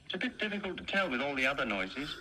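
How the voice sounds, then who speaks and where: A squeaky excitable little dog. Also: male voice, fast, slight background noise, exaggerated voice, rough recording. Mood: eager. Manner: high chirpy voice, stammers when excited.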